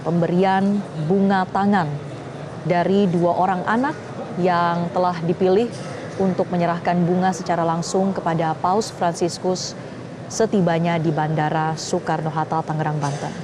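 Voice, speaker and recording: A woman with an Indonesian accent anchoring live breaking news in a formal, measured way. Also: on the low side, little pitch movement, a crowd in the background.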